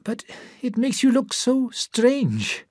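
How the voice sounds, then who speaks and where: A man doing a gentle, doubtful young wife. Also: very high voice, wildly swooping pitch, slow pace, no room sound, clean studio sound, pitched above the performer's own voice, full character voice. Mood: hesitant.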